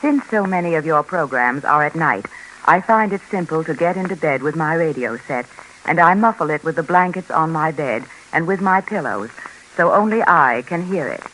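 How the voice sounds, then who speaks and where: An earnest man speaks formally in a scripted vintage radio documentary. His voice is on the high side and bright, with a light layer of old recording noise. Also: lively intonation, normal speed, rough recording. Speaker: radio spokesman.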